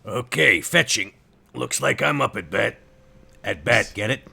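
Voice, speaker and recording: A man's voice: medium pitch, animated, unhurried, creaky, bright, forceful tone, breathy edge, heavily acted. A man voicing a goofy, bumbling, bat-like vampire in a tabletop game, talking in an informal, jokey way. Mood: goofy, joking.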